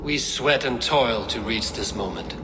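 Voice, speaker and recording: A grim, gruff Viking raider. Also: male voice, medium pitch, animated, unhurried, very noisy. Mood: stern. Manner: gruff, blunt and stern. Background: music.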